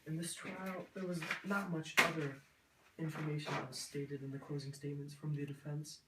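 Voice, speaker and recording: A man giving a semi-scripted news report in a halting, wordy way, recorded with echo from a distance. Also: on the high side, level tone. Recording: echoey, distant mic, faint noise.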